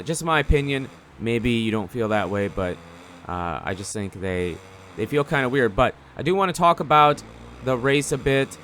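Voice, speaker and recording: A man's voice: lowish voice, a bit bright, slight background noise. A man giving casual gameplay commentary in a rambling, conversational, slangy way. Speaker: gaming video commentator.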